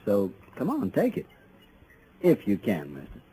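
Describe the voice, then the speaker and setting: A man's voice: fairly low pitch, huge pitch swings, measured pace, warm tone, slightly rough, heavily acted. A man playing a menacing small-town Western villain in 1950s radio drama style, with a folksy, goading drawl. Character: taunting, menacing male small-town Western outlaw sheriff. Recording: noisy vintage radio drama recording.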